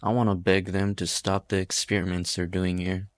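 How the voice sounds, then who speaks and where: A man's voice: low voice, flat delivery, moderate pace, no echo, natural voice. A man voicing a desperate pioneer leader in an amateur fan dub, blunt and folksy. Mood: weary. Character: desperate male pioneer leader, rough and plainspoken.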